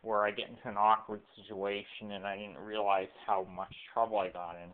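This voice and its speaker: A man in his late twenties giving a rambling, personal monologue, halting and often rephrasing himself, recorded on a cell phone. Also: fairly low pitch, flat delivery. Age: late 20s. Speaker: video blogger. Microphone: cell phone camera microphone. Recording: weak, muffled lo-fi cell phone audio.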